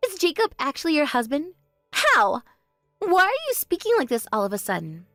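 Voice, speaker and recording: A bratty younger sister who brags and sneers, her high voice leaping all over the place, as a woman acts her out. The recording is dry and close, with no room sound. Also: wildly swooping pitch, normal speed, sharp tone, no echo, faint music behind, exaggerated voice. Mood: indignant. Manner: mocking, spiteful bragging. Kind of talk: voice drama, one performer.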